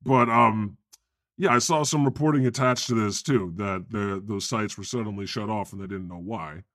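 A man's voice: lowish voice, normal speed, fairly bright tone, dry recording, clean studio sound, pitched below the performer's own voice. A man playing God as a brash, foul-mouthed know-it-all in comedic podcast banter, insistent and emphatic. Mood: insistent, ominous.

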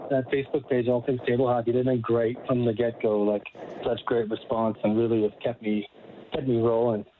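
A man calling in as a guest, talking in a relaxed, halting way with fillers. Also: medium pitch. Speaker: guest, table hockey builder. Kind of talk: phone interview. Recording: tinny phone line with faint hiss.

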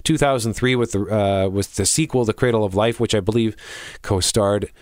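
A man's voice: lowish voice, normal speed, darkish tone, no echo. Man chatting casually, dry close sound. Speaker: show co-host. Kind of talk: casual chat reviewing entertainment.

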